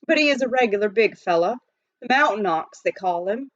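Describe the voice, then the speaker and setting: A woman voicing a rough, boastful, needling mining-town man in a children's storybook, with colloquial frontier talk. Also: mid-range voice, animated, brisk, dry recording, muffled recording, natural voice. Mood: sly boasting.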